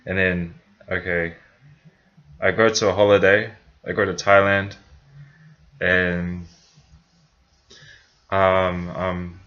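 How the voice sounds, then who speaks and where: A man's voice: deep voice, flat delivery, takes it slowly, long pauses, a bit bright, dull audio. A young man from New Zealand with a New Zealand accent, telling a personal story in a rambling, casual way, full of fillers and restarts. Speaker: vlogger.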